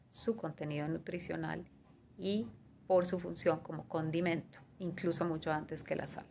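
A woman in her thirties giving a measured, partly read talk over a video call from a small room, listing things one by one and filling pauses with "eh". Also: fairly low pitch, gravelly. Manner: measured, listing items, with fillers like "eh" and "digamos". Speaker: guest speaker on pastry and cuisine. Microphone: video call webcam.

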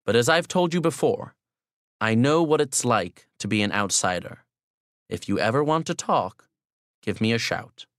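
A man's voice: mid-range voice, lively intonation, moderate pace, dry recording, studio quality, close to an everyday voice. A man doing a frank, probing boss. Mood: supportive. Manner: frank, concerned. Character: perceptive older male boss, probing and supportive.

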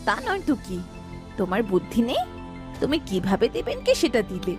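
A woman's voice: on the high side, wildly swooping pitch, fairly quick, over music. A woman voicing a greedy, nagging village housewife in a cartoon, scolding and questioning in an exasperated way. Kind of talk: cartoon voice acting.

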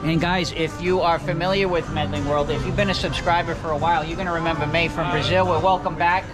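Man, forties, food vlog host, chatty, upbeat, higher voice, handheld camera outdoors, music behind. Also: fairly even intonation, quick pace. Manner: upbeat, chatty, addresses viewers. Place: outdoor cafe patio. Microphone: handheld camera at arm's length.

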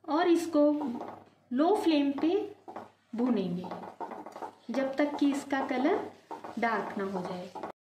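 A woman hosting a home cooking video, calmly talking viewers through each step and calling them friends. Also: high voice, slow, pauses often, roomy sound, noisy background. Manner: addresses viewers as friends, calm explaining. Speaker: cooking channel host. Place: home kitchen at the stove.

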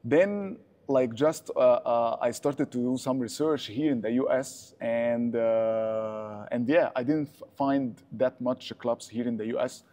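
A man's voice: medium pitch, dark tone. A middle-aged man from Egypt, talking casually in an interview as a club owner, with frequent fillers and restarts. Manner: halting, many fillers and restarts. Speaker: padel club owner and squash coach. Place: large indoor padel hall. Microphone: lapel.

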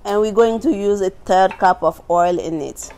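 A woman's voice: mid-range voice, normal speed, dark tone. A woman from Burkina Faso with a West African accent, giving a cooking demonstration as the instructor in a kitchen. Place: large indoor teaching kitchen.

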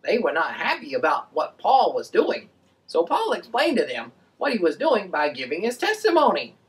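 A woman voicing an excitable, friendly boy puppet, explaining things in an animated way for a children's show. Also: on the low side, moderate pace, recorded from a distance, low-quality recording. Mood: earnest.

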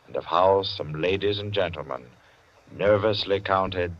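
Low-voiced 1940s radio suspense announcer. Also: male voice, heavy background noise. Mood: suspenseful. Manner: measured, dramatic delivery. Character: suave male narrator of suspense tales. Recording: dull old 1940s radio recording, music behind. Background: dramatic music.

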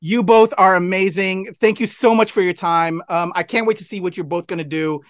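A man's voice: high voice, fairly even intonation, brisk, clear, resonant tone, dry recording, clean studio sound. A man hosting a casual video interview in an upbeat, colloquial way. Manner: upbeat, colloquial, says y'all. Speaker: interview show host. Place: indoors, on a video call.

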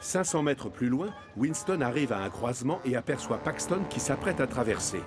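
A man's voice: mid-range voice, big pitch swings, moderate pace. Male storyteller over music. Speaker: narrator. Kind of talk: scripted children's story narration. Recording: music behind the narration.

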